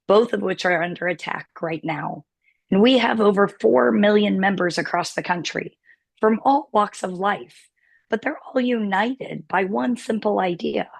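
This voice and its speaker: A woman with a hoarse, tired voice hosting a virtual town hall as an organization's president, speaking with an emphatic, repetitive rallying cadence. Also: on the low side. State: hoarse, losing her voice. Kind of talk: rallying political speech.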